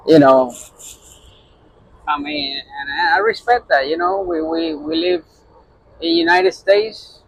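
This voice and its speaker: A man's voice: fairly high pitch, vocal fry, fairly bright tone, slight background noise, poor audio. A man from Puerto Rico, a truck driver, talking casually to his phone in a rambling way full of fillers and restarts. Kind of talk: casual vlog talk to camera.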